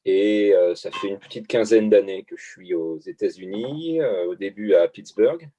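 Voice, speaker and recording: A man's voice: on the low side, normal speed, dark tone, highs cut off, slight background noise. A man from Tours, a literature professor, introducing himself hesitantly with fillers. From Tours, France. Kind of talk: casual chat.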